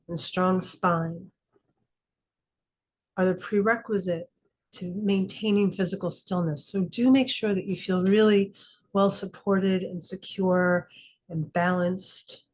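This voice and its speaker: A woman leading a guided meditation as a teacher, calm and instructive, speaking in slow, deliberate phrases with frequent pauses. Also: medium pitch. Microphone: video call class.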